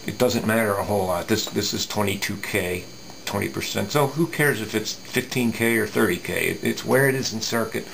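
A middle-aged man giving a casual hands-on technical explanation, thinking aloud haltingly with folksy asides. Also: fairly low pitch, creaky, background noise. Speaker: radio repair hobbyist presenting. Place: indoor electronics workbench.

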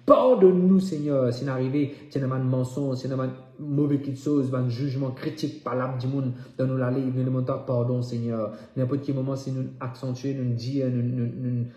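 Male prayer leader, soft-edged mellow tone, repetitive incantatory delivery, roomy reverberant sound. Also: medium pitch, flat delivery, normal speed, few pauses. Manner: incantatory, repeating phrases with emphasis. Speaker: preacher leading prayer. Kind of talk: fervent prayer and declaration, repetitive. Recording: echoey room sound.

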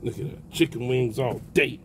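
An older man giving a relaxed, folksy how-to narration outdoors in a backyard while he works with his hands. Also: medium pitch, normal speed, noisy background. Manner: folksy, relaxed, talks while plucking, calls out "baby". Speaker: backyard homesteader. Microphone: phone camera held close, hands-on filming.

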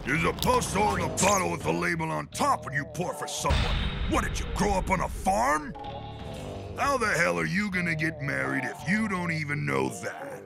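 A sleazy cartoon pig boss who belittles and scolds. The voice is heavily gravelly and somewhat raised, with music behind it. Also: male voice, fairly high pitch, animated, normal speed. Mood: scornful, angry.

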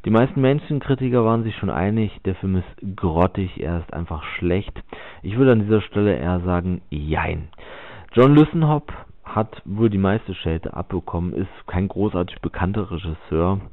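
A man's voice: low voice, animated, normal speed, no room sound. A man with a German accent giving a casual spoken film review as a voice-over, hesitating often with fillers. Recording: dry, tinny phone-like recording.

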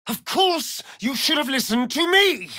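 An actor playing a sardonic, vain vampire rogue in a video game, with an arch, theatrical, drawling delivery. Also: male voice, extremely high pitch, big pitch swings, a little slow, pushed and bright, dry recording, studio quality, heavily acted. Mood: angry, scornful. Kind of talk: video game character lines.

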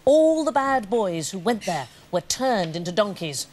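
A woman's voice: mid-range voice, very animated, measured pace, darkish tone. A middle-aged woman, telling a scripted comic story in an arch, theatrical way as pantomime narrator. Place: TV studio, at a lectern. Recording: studio with audience noise.

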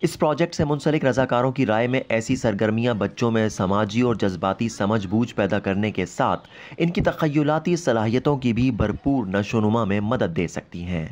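A man's voice: fairly low pitch, lively intonation, a little mellow. Man reading a formal news voice-over. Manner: measured, formal delivery. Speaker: news reporter. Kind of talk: scripted news narration.

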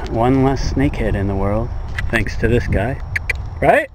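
A man in his forties on a small fishing boat, chatting excitedly to an action camera and his dog about his catches. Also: mid-range voice, measured pace, noisy background. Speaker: fishing vlogger. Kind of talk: casual outdoor commentary to camera and dog. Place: small fishing boat on open water outdoors. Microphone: action camera at close range.

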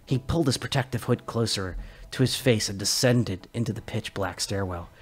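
A man narrating a science fiction short story as a dramatic reading, measured and expressive. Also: on the low side, slight background noise.

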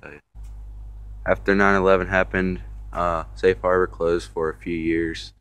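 A young man casually narrating a tour of a river dam outdoors, filming on a phone, halting with many fillers and restarts. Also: low-pitched, flat delivery, fairly bright tone, smooth. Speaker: vlogger filming a local landmark. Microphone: phone camera held while walking.

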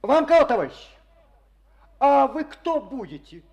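A man's voice: extremely high pitch, sing-song, unhurried, darkish tone, lo-fi sound, heavily acted. An actor playing an earnest young male Komsomol secretary in a village, chairing a meeting and reading a letter aloud in a declamatory way, in an old Soviet radio play. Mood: suspicious.

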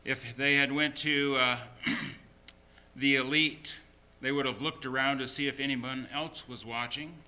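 Bright-voiced male pastor preaching. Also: medium pitch, fairly even intonation, measured pace. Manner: emphatic, repeats short phrases. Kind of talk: sermon.

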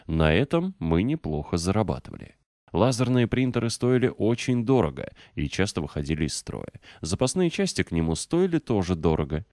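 A man with a low, deep voice reads an audiobook from a script. His delivery is measured and clearly articulated. The sound is dry, with very little room in it. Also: darkish tone, no room sound. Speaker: audiobook narrator.

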